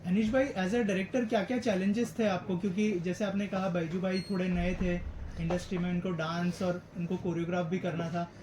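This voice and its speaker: A woman's voice: lowish voice, fairly even intonation, brisk. A woman interviewer asking questions at an event, mixing in English phrases. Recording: echoey noisy event room.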